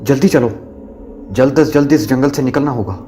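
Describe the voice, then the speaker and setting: A man's voice: mid-range voice, brisk, warm tone, slight background noise, lightly acted. A man playing a hungry, easygoing young male student in an amateur audio drama. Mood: urgent.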